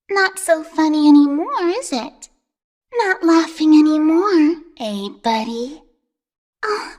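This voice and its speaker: An actor voicing a sadistic animatronic chicken in a video game, husky and often breathy, taunting and unhinged. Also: mid-range voice, heavily acted. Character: creepy, sadistic animatronic chicken craving parties and flesh.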